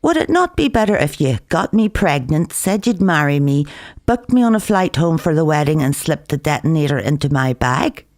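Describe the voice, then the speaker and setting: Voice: mid-range voice, very animated, normal speed, dry recording, studio quality. A narrator voicing a defiant, sardonic young Northern Irish woman in an audiobook, brash in manner.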